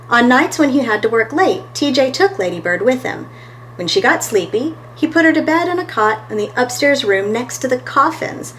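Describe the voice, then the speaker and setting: Higher-voiced woman reading a children's storybook. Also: slight background noise, poor audio. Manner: expressive storytelling, talks to listeners between pages. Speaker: storytime host and reader. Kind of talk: children's picture-book read-aloud.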